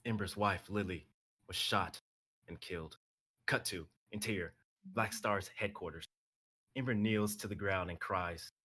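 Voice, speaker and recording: Husky young man with a forceful edge. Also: low voice, a little slow. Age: young adult. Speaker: writer reading own story on a live stream. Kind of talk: dramatic reading of a script, then casual chat.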